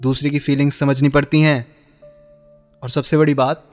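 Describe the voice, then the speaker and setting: A man's voice: fairly high pitch, flat delivery, moderate pace, a little mellow, faint music behind, natural voice. An actor playing a bitter, heartbroken young man in a radio drama, sarcastic and accusing as he confronts the woman he loved. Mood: accusing, hurt. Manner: sarcastic, accusing, with pointed rhetorical questions. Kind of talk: Hindi radio play acting.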